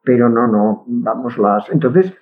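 A woman's voice: extremely low pitch, animated, measured pace, a little hiss or hum. Woman with a Catalan accent, tinny line. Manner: firm, opinionated, restarts words often. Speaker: guest linguist. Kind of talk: casual conversation.

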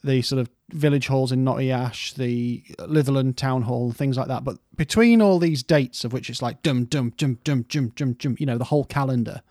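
A man's voice: medium pitch, moderate pace, hardly any pauses, darkish tone, no echo, studio quality. A man co-hosting a casual music history podcast, rambling through stories in a playful way.

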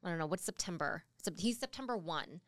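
A woman's voice: fairly low pitch, animated, brisk, dry recording, studio quality. A woman in her thirties co-hosting a casual podcast chat, often hesitant and searching for words as she talks. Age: 30s. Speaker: podcast co-host.

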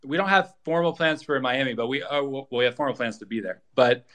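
A man's voice: mid-range voice, a little fast, bright, forceful tone. A man talking casually and informally as an interview guest, explaining plans and details of a project. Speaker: guest, co-creator of an audio drama. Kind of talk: casual promotional interview talk.

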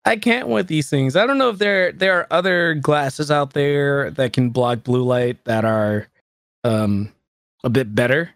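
A man's voice: medium pitch, unhurried, gravelly, a bit bright, no echo. Chatty man in his thirties, dry sound. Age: 30s. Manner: laughing often, rambling, self-deprecating. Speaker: streamer. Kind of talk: casual chat.

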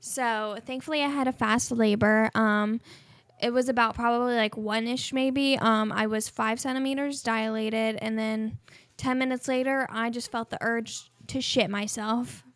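A woman's voice: fairly high pitch, fairly even intonation, a little slow, no room sound. A woman in her early twenties co-hosting a casual podcast, telling a story candidly with bits of laughter. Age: 20s. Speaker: podcast co-host. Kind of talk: casual chat.

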